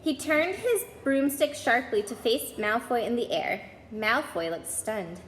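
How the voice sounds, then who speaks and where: A woman's voice: a little high, lively intonation, unhurried, a bit bright. A woman reading a children's novel aloud as a storyteller. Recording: echoey hall, faint background noise.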